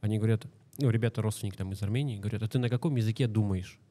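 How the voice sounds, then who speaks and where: A man's voice: lowish voice, racing, warm tone. A man co-hosting a casual podcast interview, telling stories with joking asides.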